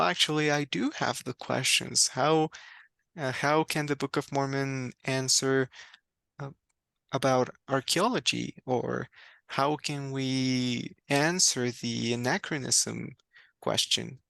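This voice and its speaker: Gravelly-voiced man. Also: medium pitch, fairly even intonation, takes it slowly, bright, forceful tone, no room sound. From Mexico. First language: Spanish. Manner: reflective, candid, laughs often, restarts words. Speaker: guest. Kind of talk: personal faith story in conversation.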